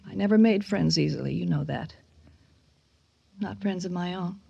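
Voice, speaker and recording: A woman's voice: on the low side, normal speed, gravelly, mellow, slightly rough, dry recording, dull audio, full character voice. A woman acting an anxious, guilt-ridden middle-aged widowed mother in a radio drama, pleading hesitantly and emotionally. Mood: remorseful, defensive.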